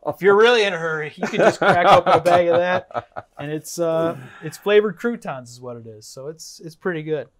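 A young man co-hosting a cooking show in a kitchen, bantering in a relaxed, easygoing way. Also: fairly high pitch, a little fast, crowd noise. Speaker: co-host. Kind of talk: casual chat while cooking. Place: indoor kitchen set.

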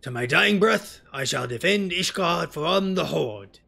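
A man voicing a proud, intense knight in a fantasy game, declaiming forcefully. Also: a little high, animated, measured pace, vocal fry, full character voice. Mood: fierce, vowing. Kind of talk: fantasy game dialogue reading. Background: game music.

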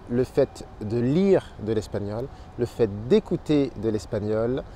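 A man in his thirties who hosts a language channel, explaining his tips to camera in an energetic, expressive way. He is outdoors by a park pond and wears a lapel mic. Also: medium pitch, a little hiss or hum. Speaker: Spanish teacher and channel host.

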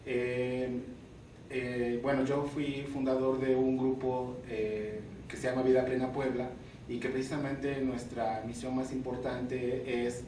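A man from the port of Veracruz, an activist and group founder, speaking at a panel in a measured, halting way. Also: medium pitch, a little mellow, a little hiss or hum. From port of Veracruz, Mexico. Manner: hesitant, many fillers, measured. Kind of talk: panel talk. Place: conference room. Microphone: table microphone, recorded by far camera. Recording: echoey room from far off.

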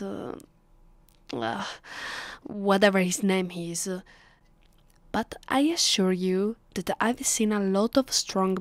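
A woman with an Italian accent playing a haughty, teasing ancient vampire mistress in a soft, half-whispered ASMR roleplay, condescending and commanding. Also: mid-range voice, no echo, close to an everyday voice. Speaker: voice actress. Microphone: close, dry microphone.

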